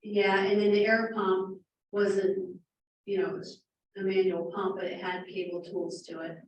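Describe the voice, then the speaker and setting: Loud-voiced woman chairing a meeting. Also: medium pitch, flat delivery, a little slow, muffled recording, slight background noise. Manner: loud, direct, explains at length. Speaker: neighborhood district chair. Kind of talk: community meeting discussion. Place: city hall community room. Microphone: handheld mic, from a distance. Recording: echoey meeting room from far off.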